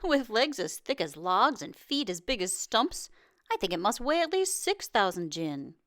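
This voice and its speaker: A woman voicing an excitable, boastful court advisor in a storybook, speaking in an emphatic, declamatory way. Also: mid-range voice, moderate pace. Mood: impressed. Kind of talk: storybook read-aloud.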